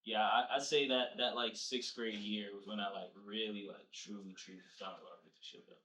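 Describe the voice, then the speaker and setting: A man's voice: fairly low pitch, fairly even intonation, a little fast, sharp tone. A young man who grew up in New Jersey, talking casually and laughing easily as a guest in an interview. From Nigeria, raised in New Jersey. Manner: laid-back, slangy, laughs easily. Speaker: rapper guest. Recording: distant mic, roomy echo.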